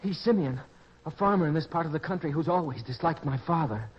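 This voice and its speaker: A man's voice: a little high, lively intonation, brisk, breathy edge. A man playing an ashamed, ragged wanderer come home, contrite and tense, in old-time radio drama style. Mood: worried, ashamed. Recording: muffled lo-fi sound, faint noise.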